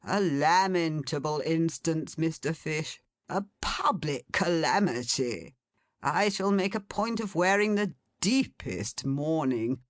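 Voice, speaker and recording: A woman's voice: deep voice, expressive, slow pace, no echo, clean studio sound. A woman voicing a pompous, sanctimonious male alderman who moralizes in grand, solemn phrases.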